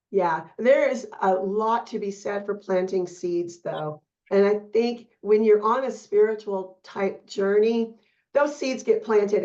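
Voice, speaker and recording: A woman's voice: medium pitch, a little mellow. A woman hosting an interview who affirms her guest and asks reflective questions. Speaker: podcast host. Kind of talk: casual interview conversation. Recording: dull, lo-fi audio.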